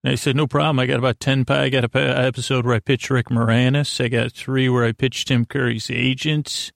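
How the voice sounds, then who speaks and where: Male sleep podcast host: gravelly creak, dry sound, halting goofy meanders, self-deprecating and non-threatening. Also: medium pitch, flat delivery, no echo. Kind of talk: rambling bedtime sleep podcast monologue.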